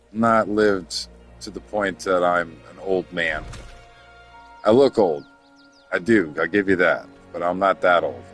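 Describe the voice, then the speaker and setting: Low-voiced rambling man in his thirties. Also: a bit bright. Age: 30s. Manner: rambling, chatty, addresses viewers directly; mutters to himself while working through game menus. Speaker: game streamer. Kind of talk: casual chat while playing a game.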